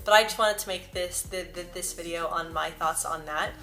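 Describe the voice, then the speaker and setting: A young woman talks casually to camera, often stuttering on sounds and whole words. Her voice is bright and sits slightly low. Soft music plays faintly behind her. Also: fairly even intonation, moderate pace. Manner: earnest and personal. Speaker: vlogger. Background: soft background music.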